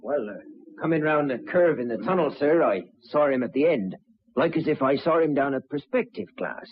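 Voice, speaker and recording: A man's voice: on the high side, expressive, moderate pace, breathy edge. An actor playing a humble, shaken train driver giving his account in an old-time radio drama, hesitant, deferential and plain-spoken. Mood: shaken, explaining. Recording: dull, faintly noisy vintage radio.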